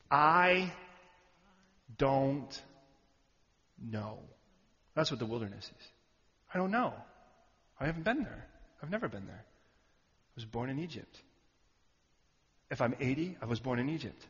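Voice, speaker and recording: A man preaching a sermon, animated and joking with the audience, sometimes shouting out a word. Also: medium pitch. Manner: animated, shouting at peaks, jokey asides to the audience. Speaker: pastor. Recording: muffled hall recording.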